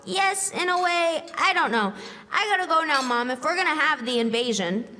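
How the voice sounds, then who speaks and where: A very high, bright little girl's voice acted by a young woman, lively and swooping. She is loud, eager and impatient, bossing her playmate about in an old-time radio play. The sound rings in a big hall. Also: moderate pace. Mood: eager, defensive. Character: excitable, impatient, bossy little girl at play. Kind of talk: 1940s-style radio drama acting on stage. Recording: echoey hall, faint noise, live stage.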